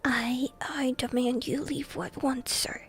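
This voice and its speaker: A woman's voice: high voice, fairly even intonation, slow. A woman voicing a frightened, blustering uncle in a storybook, stammering with indignation. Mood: indignant.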